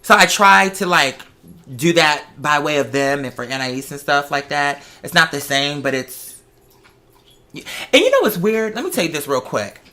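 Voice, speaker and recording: A host in a casual personal chat, telling stories in an animated way with examples and acted-out quotes. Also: mid-range voice, moderate pace.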